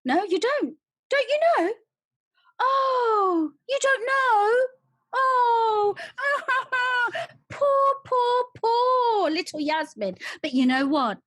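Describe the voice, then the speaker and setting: Woman doing a brash, foul-mouthed female alter with a husky, swooping voice. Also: fairly high pitch, very animated, slow, pauses often, a bit bright, no echo, heavily acted. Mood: mocking shrill. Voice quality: swearing, brash. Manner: ranting, mocking. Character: coarse, furious female alter called the ugly one. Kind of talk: solo stage monologue.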